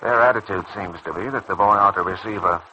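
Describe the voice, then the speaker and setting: A man's voice: sits low, fast talker, bright, forceful tone. A man playing a glum, bitter young surgeon in a mid-century radio soap opera, hesitant and sceptical in manner. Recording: dull, noisy old radio recording.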